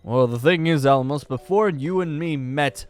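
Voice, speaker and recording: A man's voice: on the high side, moderate pace, natural voice. A man playing a laid-back, practical gunslinger in a Minecraft roleplay, talking in a casual, matter-of-fact way. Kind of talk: improvised Minecraft fantasy roleplay acting.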